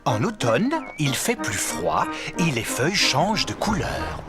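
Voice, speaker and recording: A man's voice: on the high side, wildly swooping pitch, measured pace. A man narrating a scripted children's cartoon like a storybook, with music behind him. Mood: storytelling.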